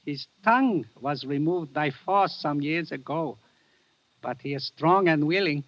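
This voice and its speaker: A man's voice: a little high, a little slow, close to an everyday voice. An actor playing a menacing foreign henchman with formal, coolly polite speech in a 1950s radio drama. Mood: cruel, commanding. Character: menacing, courteous male foreign henchman with gun. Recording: dull, noisy vintage radio broadcast.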